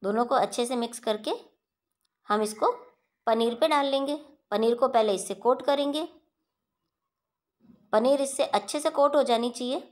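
A woman hosting a home cooking channel, talking viewers through a recipe step by step in a steady instructional voice-over. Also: on the high side, normal speed, pauses often.

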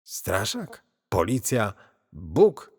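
Man acting a bitter, defiant man, husky and echoing. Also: on the low side, huge pitch swings, very slow, darkish tone, exaggerated voice. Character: desperate, bitter, scornful man bent on revenge. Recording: cavernous long reverb.

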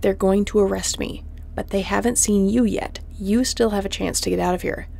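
A woman playing a tough, wry, protective space mercenary captain in a sci-fi audio roleplay, terse and commanding, often hushed. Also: medium pitch, steady tone, moderate pace, gravelly. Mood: wry, resigned.

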